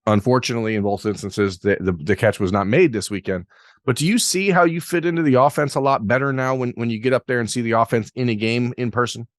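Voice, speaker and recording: A man's voice: medium pitch, fast, no room sound, studio quality. Talkative male podcast host. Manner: talkative, self-deprecating, long rambling questions. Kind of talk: interview podcast.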